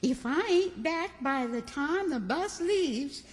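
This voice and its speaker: A woman's voice: high voice, animated, takes it slowly. A woman playing a folksy, brazen country spinster with a rural Southern American accent in an old-time radio comedy. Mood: eager. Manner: folksy, exclaiming, emphatic. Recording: stage performance in reverberant hall.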